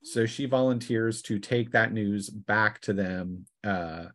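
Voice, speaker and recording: A man working as game master, narrating a tabletop fantasy session in a halting way, thinking aloud as he goes. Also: fairly low pitch, a bit bright, no room sound, clean studio sound.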